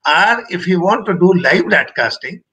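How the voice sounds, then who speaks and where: Slightly husky Indian-accented man lecturing. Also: sits high, animated, normal speed, bright, forceful tone. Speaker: radio engineering expert giving a presentation. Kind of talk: explanatory lecture with personal recollection.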